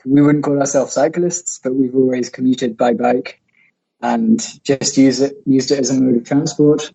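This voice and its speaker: An English man in his thirties with a West Midlands accent, a guest telling a podcast host about a cycling journey in a relaxed, thoughtful way, over a remote call. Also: mid-range voice, creaky. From England. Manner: relaxed, thoughtful, some hesitations. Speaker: guest, cycle tourer. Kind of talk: casual interview talk. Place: remote call while travelling. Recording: muffled lo-fi call audio.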